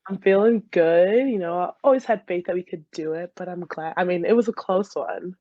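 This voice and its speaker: A woman's voice: fairly low pitch, a little fast, mellow. Young woman chatting casually as a podcast guest, easygoing, bit dull lo-fi sound, no room echo. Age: 20s. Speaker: interview guest, dental student and soccer player. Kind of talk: casual chat.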